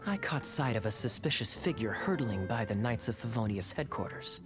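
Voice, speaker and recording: An actor playing a suave, teasing young knight captain in a video game, smooth-talking and theatrical. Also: male voice, medium pitch, animated, normal speed, music in the background, close to an everyday voice. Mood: sly teasing.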